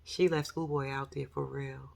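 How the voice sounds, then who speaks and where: A woman with a raspy voice and a New York accent, chatting casually on her vlog in a slangy, animated way. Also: a bass voice, moderate pace. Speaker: YouTube commentary host.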